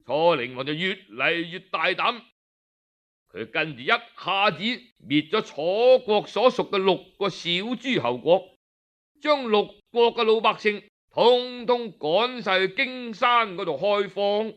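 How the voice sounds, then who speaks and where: Animated high-voiced Cantonese male storyteller. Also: slow. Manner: animated, colloquial, rhetorical. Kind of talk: traditional dramatic oral storytelling, audiobook narration.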